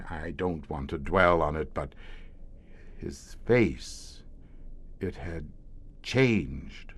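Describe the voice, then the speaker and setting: An actor playing a weary, grim professor who commands a secret police squad, speaking formally and hesitantly in an audio horror drama. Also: male voice, low voice, full character voice. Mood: uneasy, guarded. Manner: measured, hesitant, formal, darkly matter-of-fact.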